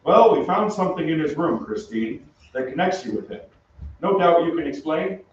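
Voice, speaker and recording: A man's voice: fairly high pitch, animated, moderate pace, lightly acted. Man playing formal police inspector, heavy echo. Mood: probing, suspicious. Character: stiff, formal male French police inspector, drily suspicious. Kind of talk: old-time radio drama acting. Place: theatre stage. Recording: very echoey stage, distant muffled mic.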